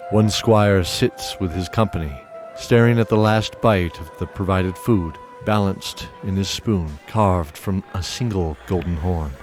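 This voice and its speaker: A man narrating a fantasy audio drama in steady, descriptive scripted storytelling. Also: sits low, a little slow, few pauses, faint music behind.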